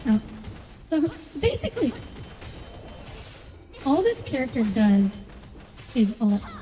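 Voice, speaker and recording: A woman with a very soft, dark tone and a slightly high pitch comments casually on a game. She is blunt and opinionated, and her voice carries a long reverb over music. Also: very animated, slow. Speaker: game streamer.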